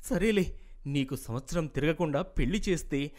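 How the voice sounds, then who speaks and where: A responsible elder brother, played by a man, speaks in a reasoning, placating way in a cartoon family story. The voice is a little high for a man, with a protective, advising manner. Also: normal speed, a little mellow, close to an everyday voice. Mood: fond, advising. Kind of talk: dramatised moral story for animation.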